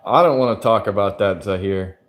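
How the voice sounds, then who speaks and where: A man's voice: fairly low pitch, fairly quick, soft background music. A man livestreaming and answering chat in a loose, rambling way, full of fillers. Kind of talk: casual chat.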